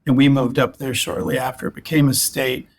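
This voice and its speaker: A man's voice: mid-range voice, little pitch movement, fairly quick. A man in his sixties, a spine surgeon raised in Alaska, talking through his past in a rambling way with many restarts. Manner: rambling with frequent restarts and repeated words. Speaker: guest, orthopedic spine surgeon. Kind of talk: reflective interview conversation.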